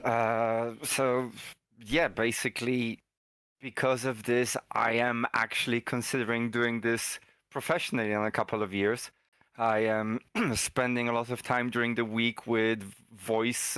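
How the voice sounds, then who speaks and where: A man's voice: mid-range voice, fairly bright tone, clean tone, no room sound. A man speaking casually as a guest in a voice actors' panel. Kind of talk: casual chat.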